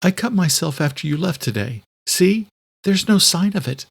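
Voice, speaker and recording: A man voicing an earnest, quick-thinking adult woman in an audiobook, explaining things insistently. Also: high-pitched, animated, creaky, breathy edge, voice pushed up, exaggerated voice. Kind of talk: audiobook character dialogue.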